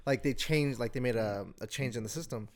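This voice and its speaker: A man's voice: mid-range voice, a little fast. A young man co-hosting a podcast, explaining things informally in a hesitant, filler-heavy way. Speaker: podcast co-host. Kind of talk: casual chat, informal explaining.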